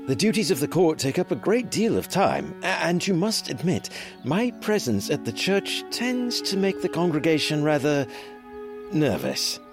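A man playing a conflicted, cautious and wry nobleman in an audio drama, with measured courtly phrasing and a defensive edge. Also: fairly high pitch, big pitch swings, over music.

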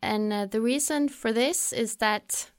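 Smooth-voiced Norwegian woman host. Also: a little high, measured pace, no echo, clean studio sound. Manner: hesitant, many uh fillers in English. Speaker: podcast host. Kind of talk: podcast interview.

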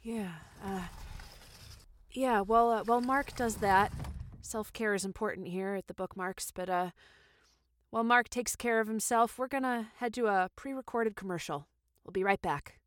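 A woman playing a supportive, bookish podcast co-host who chats casually and haltingly in an improvised comedy. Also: medium pitch, no room sound, lightly acted. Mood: awkward covering. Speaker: book review podcast co-host.

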